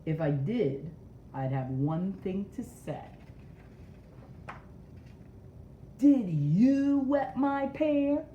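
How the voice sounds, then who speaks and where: A woman's voice: medium pitch, animated, slow pace, warm tone. A woman voicing an indignant, self-important male storybook character who accuses his friends with sarcastic melodrama. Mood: sarcastic, accusing. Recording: roomy echo, dull sound, faint noise.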